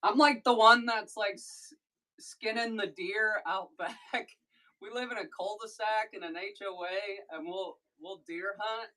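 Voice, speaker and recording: Deep-voiced woman from Missouri, unhurried and chatty, runs her own live sales stream. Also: sharp tone, low-quality recording. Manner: chatty, breaks off mid-thought to handle tasks. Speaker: online seller streaming a live sale. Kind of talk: casual livestream chat while packing orders.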